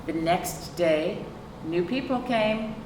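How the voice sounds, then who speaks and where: A woman's voice: fairly low pitch, lively intonation, slow pace. A woman reading a picture book aloud to young children, expressively, with chatty questions and asides to her listeners. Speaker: librarian hosting a children's storytime. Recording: roomy, distant microphone, clear background noise.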